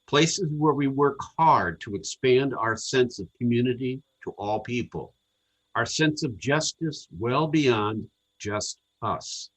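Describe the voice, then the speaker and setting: A man reading a scripted sermon to a congregation in a measured, earnest, deliberate way. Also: mid-range voice, expressive, poor audio. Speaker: congregational service speaker.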